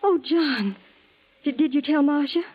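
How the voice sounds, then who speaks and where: An actress playing a sweet, devoted young woman in love, soft and breathy, in a 1940s radio melodrama. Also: sits high, animated, unhurried. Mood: alarmed. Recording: dull, lo-fi 1940s radio recording.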